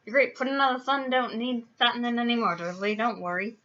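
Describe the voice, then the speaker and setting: A woman voicing a huge, gruff but kindly male giant gamekeeper with an Irish accent and a booming, hot-tempered delivery in a storybook reading. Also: fairly even intonation, fairly quick, pushed and bright, muffled recording. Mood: friendly. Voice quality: booming, growling.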